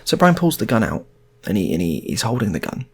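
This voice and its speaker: A man's voice: on the low side, big pitch swings, a little fast, gravelly, husky. A British man improvising a casual comic story in a rambling, repetitive way.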